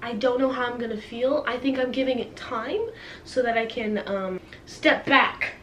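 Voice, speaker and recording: A young woman with a fairly high voice chats casually, as if to her followers. She is playfully dramatic and pokes fun at herself. The recording is roomy and a little distant from the mic, with faint noise. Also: steady tone, moderate pace, fairly bright tone. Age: young adult. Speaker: book vlogger and host. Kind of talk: casual chatty video to camera.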